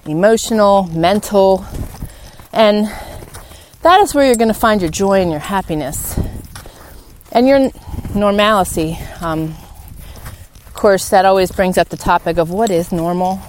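Woman podcaster, encouraging, noisy recording. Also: medium pitch. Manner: encouraging, earnest, addresses listeners as guys. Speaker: podcast host. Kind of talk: informal solo motivational podcast talk. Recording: clearly noisy background with clicks.

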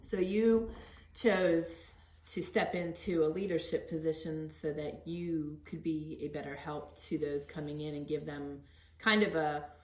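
A woman hosting an informal podcast interview, gently prompting and encouraging. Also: sits low, steady tone, a little slow. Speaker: podcast host. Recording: roomy echo.

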